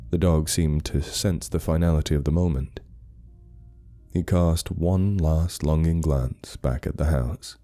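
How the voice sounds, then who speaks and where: A man's voice: very deep voice, mellow. A man narrating a scripted horror story in a grave, suspenseful manner.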